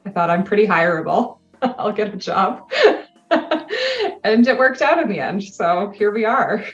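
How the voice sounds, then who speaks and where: A woman from Canada, a native English speaker, talks as a podcast guest in a casual interview, telling animated stories and laughing often. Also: medium pitch, a little slow, reverberant, highs cut off, soft background music.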